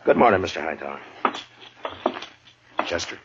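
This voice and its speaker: An actor playing a stern, weary Old West marshal with dry sarcasm in a 1950s radio western. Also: male voice, sits low, sing-song, normal speed. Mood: businesslike. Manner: dry, sardonic. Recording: muffled, noisy 1950s radio recording.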